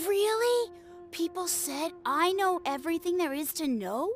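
A woman voicing an eager, easily flattered young boy in a video game who dreams of sword-fighting heroes. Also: very high-pitched, a little slow. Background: game music.

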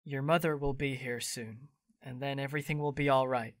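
A woman's voice: a bass voice, fairly even intonation, brisk, no room sound, studio quality. A woman voicing Laurie, a kind, reassuring young man, in an audio drama. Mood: gentle. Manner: gentle, reassuring.

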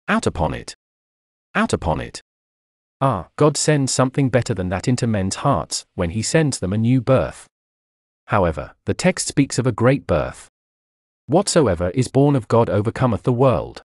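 A man's voice: fairly low pitch, darkish tone, dry recording, studio quality. A man reading an old sermon aloud in an emphatic, declamatory preaching manner. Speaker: narrator reading a historical sermon. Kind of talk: scripted sermon reading, oratorical.

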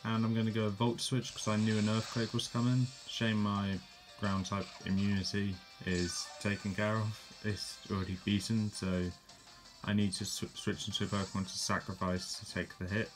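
Low-voiced man narrating a game battle. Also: normal speed, music in the background. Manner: relaxed, plain narration with fillers and self-corrections. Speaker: gameplay commentator. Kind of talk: casual play-by-play of a game battle.

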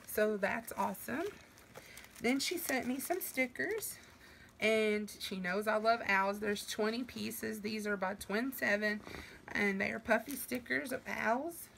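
Middle-aged woman vlogger, losing her voice, bright, gushing, home camera with faint room noise. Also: on the high side, measured pace, vocal fry, rough recording. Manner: gushing, warm thanks with fillers. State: losing her voice from a sinus infection. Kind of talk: casual mail-opening vlog.

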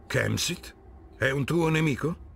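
An actor playing a cautious, thoughtful centaur companion who speaks in a solemn, wondering way. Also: male voice, a little high, unhurried, vocal fry, a little hiss or hum. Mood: wary. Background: background music.

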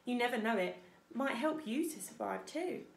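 A vain, theatrical opossum from a children's story gushes about her own performances, as a woman puts her on. Her voice is lifted a bit high with a gravelly texture. She talks in big, dramatic, chatty bursts. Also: lively intonation, moderate pace, echoey room. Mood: showy. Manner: dramatic, chatty, self-admiring. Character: theatrical, boastful female opossum.

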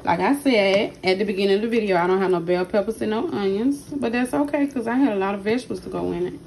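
Hoarse woman chatting while she cooks. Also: medium pitch, fast, darkish tone, slight background noise, poor audio. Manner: chatty, keeps addressing viewers directly. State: hoarse, partly lost voice. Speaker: cooking channel host. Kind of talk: casual cooking vlog narration. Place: home kitchen at the stove. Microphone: phone held in hand while cooking.

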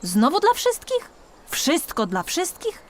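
A woman's voice: high voice, wildly swooping pitch, slow pace, voice pushed up, exaggerated voice. A woman voicing a naive, curious little female ant in a children's storybook, eager and childlike, given to exclaiming. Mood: impatient.

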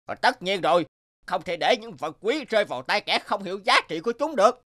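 A sly cartoon puppet god of wealth, talks like a wheedling salesman. His pitch leaps about in an animated way over clear background music. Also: a little fast. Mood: sly, assured. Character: smooth-talking male wealth-god puppet, sly servant. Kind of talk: cartoon voice acting. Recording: loud music behind.

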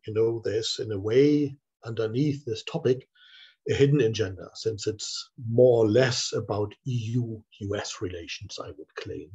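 An older man from Germany talks through a laptop webcam in a small office. His voice is low, dark and husky, and he gives an informal academic talk with frequent hesitations. The call audio sounds dull and muffled. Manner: halting, many filler sounds. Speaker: visiting scholar. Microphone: laptop webcam, video call.

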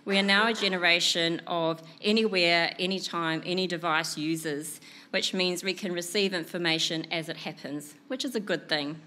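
A woman in her thirties with a New Zealand accent delivers a measured, prepared conference talk. Her fairly low voice has a bright, forceful edge. She speaks into a lectern microphone in an indoor conference room. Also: fairly even intonation. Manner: clear, measured delivery from notes.

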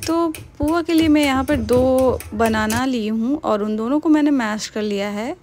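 A woman's voice: sits high, unhurried, a little mellow, a little hiss or hum. A young woman casually narrating her home cooking in a voice-over, chatty and mixing in English words. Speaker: cooking vlogger.

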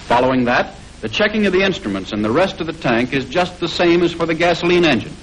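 A man with a fairly high-pitched American voice narrates a 1940s military training film. His delivery is formal and measured, like a scripted instruction. The old soundtrack is somewhat noisy. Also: expressive.

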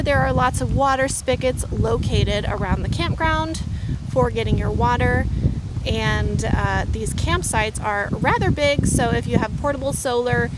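A fairly high-voiced woman talks casually and eagerly, full of "um" and "like", as she walks a lakeside campground with a handheld camera. Heavy wind noise roars across the recording outdoors. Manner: enthusiastic, chatty, many fillers. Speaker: van life vlogger. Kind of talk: casual campground tour vlog.

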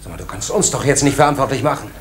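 A man's voice: medium pitch, animated, rapid-fire, very noisy, natural voice. An actor playing a brusque, domineering father giving orders in a radio crime drama. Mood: stern. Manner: brusque, commanding.

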